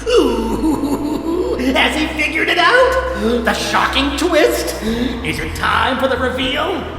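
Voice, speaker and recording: A woman's voice: sits high, big pitch swings, slow, full character voice. A woman voicing a manic, gleeful tyrant of a showrunner in an audio drama, bombastic, mocking and flamboyant. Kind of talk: theatrical audio drama villain acting. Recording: cavernous reverberant hall, distant, faint music.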